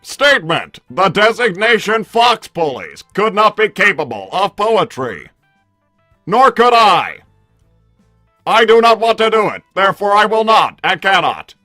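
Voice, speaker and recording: A man's voice: sits high, very animated, a little slow, pushed and bright, no room sound, clean studio sound, faint music behind, exaggerated voice. A man voicing a stubborn combat robot with stilted, emphatic declarations, in improvised cartoon roleplay. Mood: stubborn, indignant. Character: blunt, stubborn combat robot, speaks in labelled statements.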